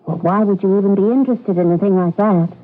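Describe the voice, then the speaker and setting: An actress playing a frightened, lovesick young expectant mother in a radio drama, halting and pleading, with an American accent. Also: mid-range voice, lightly acted. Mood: puzzled. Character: frightened, lovesick expectant young woman, secretly a programmed operative. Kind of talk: old-time radio drama acting. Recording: tinny, narrow-band radio drama recording.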